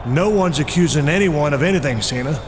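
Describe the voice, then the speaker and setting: Casting: male actor as probing official for a video game, formal, measured, skeptical, in a reverberant hall. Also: a little high, lively intonation, lightly acted. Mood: stern, skeptical. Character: stern, probing male authority figure questioning suspects. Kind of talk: video game cutscene acting. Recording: long hall reverb, faint background noise.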